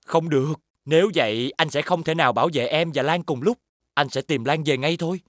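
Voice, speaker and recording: An actor playing a brave, protective young male hero in an animated fairy tale, earnest and dramatic. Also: a little high, brisk, no room sound, clean studio sound, lightly acted. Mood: resolute, reassuring. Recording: loud music behind the voice.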